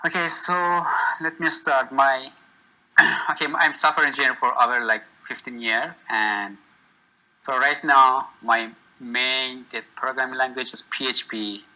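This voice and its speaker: Bright-voiced man. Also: mid-range voice, fairly even intonation, rough recording. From Iran. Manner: friendly, lists tech with short pauses. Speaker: software engineer. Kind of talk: casual networking chat. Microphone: video call.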